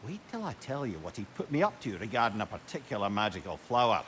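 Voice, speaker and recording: A man's voice: fairly low pitch, very animated, fast, a bit bright, a little hiss or hum. A man playing a genial, witty old former trickster in a video game, with a Scottish accent and a wry, talkative, old-fashioned way of speaking. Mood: confiding. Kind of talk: video game dialogue, storytelling banter.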